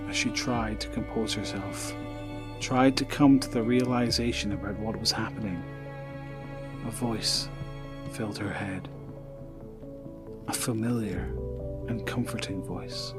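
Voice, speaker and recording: Solemn male narrator over clear music. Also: lowish voice, fairly even intonation, a little slow, long pauses. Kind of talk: dramatic scripted narration.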